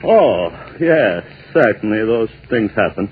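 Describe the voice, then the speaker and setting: An actor playing an older, jealous maestro husband in a 1940s radio drama, formal, curt and strained. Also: male voice, lowish voice, sing-song, slow pace, slight background noise. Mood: strained politeness. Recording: tinny 1940s radio recording.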